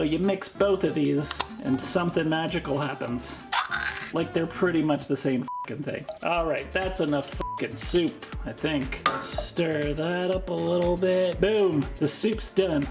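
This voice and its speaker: A man with a raspy voice hosting a comedic cooking vlog, talking sarcastically and swearing heavily with rambling asides. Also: high-pitched. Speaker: cooking video host.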